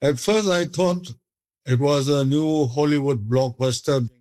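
A man's voice: on the high side, unhurried, darkish tone, no room sound, studio quality. A middle-aged man from India with an Indian accent, recalling personal memories as an interviewee. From Manipur, India. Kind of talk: personal recollection.

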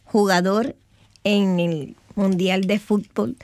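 A woman co-hosting a casual sports talk show, chatty and quick to chime in. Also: medium pitch, steady tone, darkish tone. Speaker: radio co-host. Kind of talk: casual radio sports chat.

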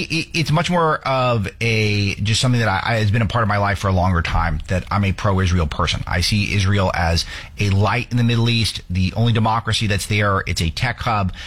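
A man's voice: fairly low pitch, fairly bright tone, breathy edge. A man from the United States hosting a talk show, giving opinionated political commentary with earnest, stumbling restarts.

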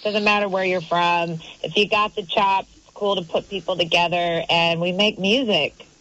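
A woman's voice: fairly low pitch, fairly even intonation, normal speed, fairly bright tone, no room sound. A female singer calling in by phone, chatty and upbeat, laughing easily. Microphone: phone line. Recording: dull phone line.

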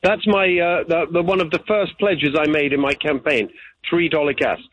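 A man's voice: on the high side, steady tone, moderate pace, a bit bright, no echo. A man from England, answering interview questions earnestly and repeating his key points. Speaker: political candidate. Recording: tinny phone line.

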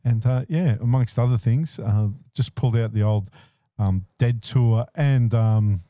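A man's voice: medium pitch, a little slow, no echo. A male radio DJ, chatting easily between songs with lots of uh and um fillers. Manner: easygoing, frequent uh and um fillers. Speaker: radio host. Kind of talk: casual radio DJ patter. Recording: dull lo-fi radio broadcast.

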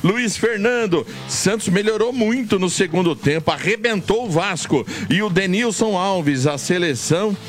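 A man's voice: sits high, lively intonation, moderate pace, few pauses, faint music behind. A Brazilian man co-presenting a sports radio show, reading out listener messages in an informal, bantering way. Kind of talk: casual sports radio chat.

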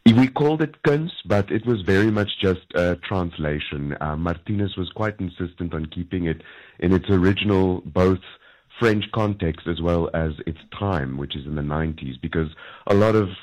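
A man's voice: low-pitched, a little mellow, poor audio. A man in his thirties, an Afrikaans speaker with a South African English accent, answering interview questions thoughtfully with many ums. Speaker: actor guest.

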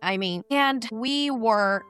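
Brazilian-accented woman, 30s, bright tone, earnest and steady guest, light halting phrasing. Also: on the high side, clear, resonant tone, soft background music. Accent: Brazilian Portuguese. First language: Portuguese. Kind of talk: interview, telling her life story.

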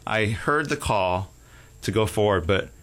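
A man from Houston, Texas, a guest telling how he came to faith, halting and full of fillers and restarts. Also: fairly low pitch, expressive, fairly bright tone. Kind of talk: casual testimony interview.

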